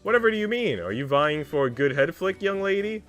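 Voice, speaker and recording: A man's voice: fairly high pitch, big pitch swings, brisk, a bit bright, voice pushed up. Man voicing a teasing, affectionate maid. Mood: teasing, mock hurt. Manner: playful, old-fashioned courtly phrasing. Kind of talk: visual novel character read aloud. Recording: music playing behind the voice.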